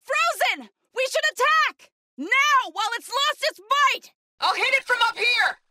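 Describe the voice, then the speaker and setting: Intense, bright-voiced young warrior woman. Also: extremely high pitch, lively intonation, unhurried, no room sound, heavily acted. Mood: urgent, fierce battle cries. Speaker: video game voice actor. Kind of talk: scripted game combat barks and companion lines.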